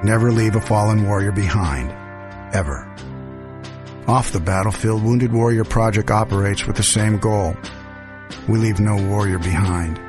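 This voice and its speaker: A man's voice: fairly low pitch, normal speed, over music. A man narrating a charity radio ad in an earnest, solemn, scripted read.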